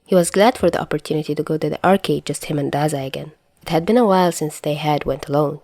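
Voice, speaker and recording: Woman narrator, low-pitched, close dry sound, scripted story reading. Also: fast talker, dark tone, no room sound. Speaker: narrator reading fan fiction.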